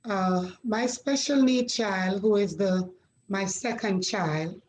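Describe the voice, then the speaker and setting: A middle-aged woman from Jamaica, a guest sharing her story in a measured, reflective way with pauses. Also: mid-range voice, darkish tone, rough recording. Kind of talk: conversational interview.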